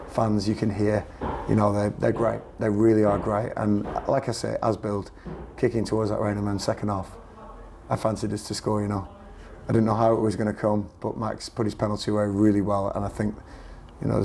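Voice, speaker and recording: Croaky, gravelly football manager. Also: male voice, lowish voice, a little mellow, slight background noise. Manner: earnest, repeats himself while searching for words. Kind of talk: post-match press interview.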